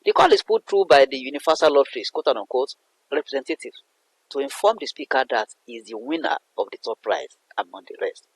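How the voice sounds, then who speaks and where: A man's voice: medium pitch, husky, no room sound, low-quality recording. A man explaining a poem as a literature teacher, in a didactic way that repeats and rephrases points. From Nigeria. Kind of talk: explanatory poetry analysis lesson.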